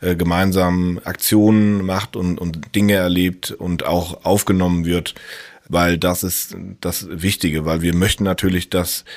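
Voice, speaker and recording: A man answering interview questions about hospital staff, measured and steady, with frequent hesitations. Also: sits low, dry recording, studio quality.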